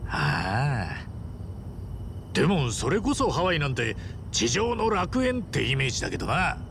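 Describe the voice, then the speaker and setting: A man voicing an easygoing but fearless Japanese tough traveller in a video game cutscene, with casual, rough street speech. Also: medium pitch, huge pitch swings, unhurried, noisy background. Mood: friendly, curious.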